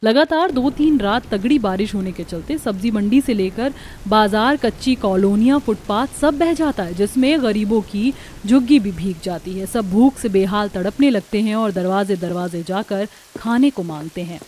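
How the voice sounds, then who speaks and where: A woman's voice: medium pitch, moderate pace, a little mellow, slight background noise. A woman reading scripted narration for a cartoon story as its storyteller.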